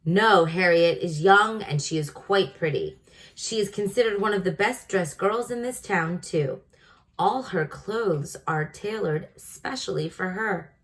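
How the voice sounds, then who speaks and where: A woman's voice: on the low side, a little slow, natural voice, rough recording. A woman voicing a friendly, talkative male storekeeper in a storybook, making folksy small talk. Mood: thoughtful, gossipy.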